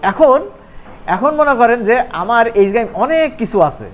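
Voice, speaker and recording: A man with a regional Bangladeshi Bengali accent giving an informal software tutorial, conversational and often checking that his students follow. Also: very high-pitched, animated, normal speed. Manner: conversational, often asks check-back questions. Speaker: animation course instructor. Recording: noisy room, clearly audible background noise.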